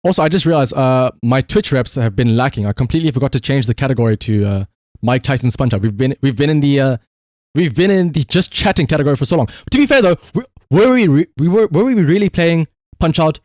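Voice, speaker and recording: A man's voice: medium pitch, lively intonation, quick pace, a little mellow, no echo, studio quality. A man livestreamer chatting casually and rambling to his viewers, swearing freely with playful exasperation. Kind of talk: casual chat to stream viewers.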